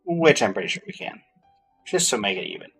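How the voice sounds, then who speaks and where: A man's voice: on the low side, sing-song, fairly quick, fairly bright tone. A man giving casual gameplay commentary, muttering to himself with playful, mock-formal phrasing. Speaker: game streamer. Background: game music.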